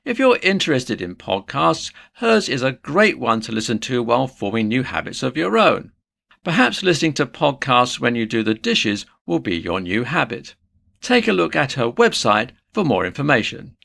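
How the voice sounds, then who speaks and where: A male narrator with a gravelly edge delivers a scripted explainer. His pitch moves around freely in an animated way, and he addresses the listener with upbeat presenter phrasing. The voice sits in a middle register. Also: normal speed.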